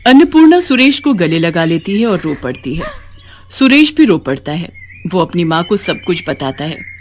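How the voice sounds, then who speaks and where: Expressive woman storyteller, lowish voice. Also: normal speed, soft background music. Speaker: story narrator. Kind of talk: scripted narration of a moral story.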